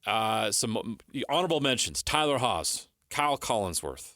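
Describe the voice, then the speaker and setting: A man's voice: fairly low pitch, very animated, normal speed, pushed and bright, no echo, studio quality. A man co-hosting a sports talk show, giving emphatic, opinionated commentary as he counts down a list.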